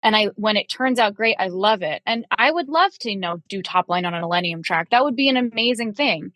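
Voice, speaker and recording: A woman's voice: mid-range voice, animated, brisk, fairly bright tone, no echo, clean studio sound. A young woman guest talking candidly in a casual podcast chat, with many fillers and restarts. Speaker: guest composer and vocalist.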